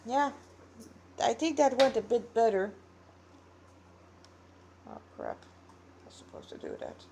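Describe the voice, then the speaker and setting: A woman's voice: medium pitch, noisy background. A tired-sounding woman reads a story aloud as an amateur narrator, dramatic in delivery and informal in her asides, recorded on a tablet. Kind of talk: narrated story reading with character dialogue.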